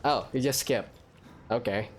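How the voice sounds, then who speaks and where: A man's voice: mid-range voice, measured pace, a little hiss or hum. A man giving casual gameplay commentary in short, muttered reactions. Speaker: gaming video host. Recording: game music behind the voice.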